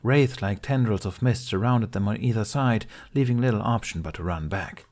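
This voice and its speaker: A man reading a fantasy story aloud as narrator, in an unhurried, measured way. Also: on the low side, a little mellow. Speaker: fan fiction audiobook reader.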